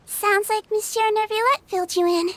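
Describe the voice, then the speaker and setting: A woman voicing a small, childlike nurse, earnest and gentle, in a video game. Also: very high-pitched, a little hiss or hum.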